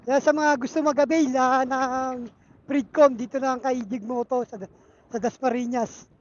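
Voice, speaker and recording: A Filipino motovlogger talking casually and haltingly while riding a motorcycle, speaking through a helmet intercom. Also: high-pitched, fairly even intonation. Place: on a motorcycle outdoors in traffic. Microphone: Bluetooth helmet intercom microphone. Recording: muffled helmet intercom with loud road noise. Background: vehicle and road noise.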